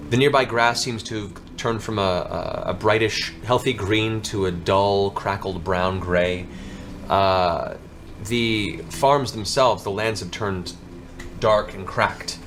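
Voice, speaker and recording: A man narrating a tabletop fantasy game as game master, improvising theatrical descriptions with deliberate pauses. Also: on the low side, a bit bright. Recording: music bed behind the voice.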